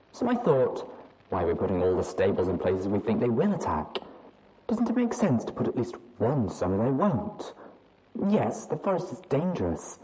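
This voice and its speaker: A woman's voice: a bass voice, background noise. A woman reading aloud as an anxious young adult mare pony who rambles hesitantly and doubts herself. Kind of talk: audiobook reading of fan fiction.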